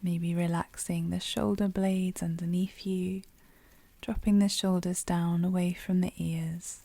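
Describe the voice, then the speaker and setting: A woman guides a meditation in a very dark, velvety voice, soft and breathy to the edge of a whisper. Her delivery is slow and soothing, with gentle pauses between phrases. The sound is close and dry. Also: on the low side, flat delivery, dry recording. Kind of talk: guided yoga nidra meditation.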